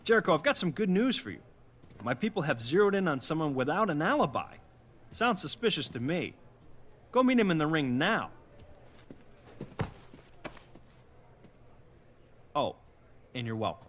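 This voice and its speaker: A man's voice: a little high, very animated, fairly quick, fairly bright tone. A man playing a brash, impatient wrestling boss in a video game, with an emphatic, condescending way of talking. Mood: smug. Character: brash, impatient male wrestling boss throwing his authority around. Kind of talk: video game story-mode voice acting.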